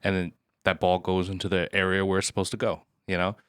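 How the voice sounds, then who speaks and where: A man with a somewhat low voice chats on a podcast, telling a story with energy. The sound is dry and close. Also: monotone, fast, smooth, no echo. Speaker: podcast co-host. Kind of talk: casual podcast discussion.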